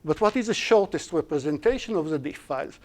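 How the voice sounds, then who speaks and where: Man lecturing with a mellow voice. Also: a little high, expressive, fast. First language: Hebrew. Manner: explaining step by step, with self-corrections and restarts. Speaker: university lecturer. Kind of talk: live-streamed algorithms lecture.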